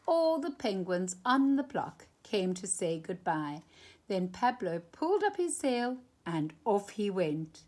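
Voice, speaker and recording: Animated woman reading a children's story, unhurried. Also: on the low side. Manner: animated, dramatic emphasis with drawn-out phrases and hushed moments. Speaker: storytime reader. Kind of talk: expressive children's picture-book read-aloud.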